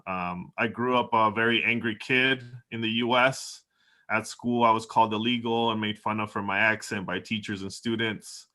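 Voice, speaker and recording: A man's voice: fairly low pitch, fairly even intonation, normal speed, bright, forceful tone. A man from El Salvador, a doctoral student, giving earnest, reflective opening remarks as the moderator of a panel.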